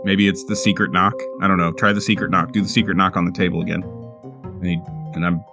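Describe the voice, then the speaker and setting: A man playing a tabletop roleplaying game, chatting casually and often repeating his words as he thinks out loud. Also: deep voice, level tone, fast, over music. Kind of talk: casual table chat and in-character play.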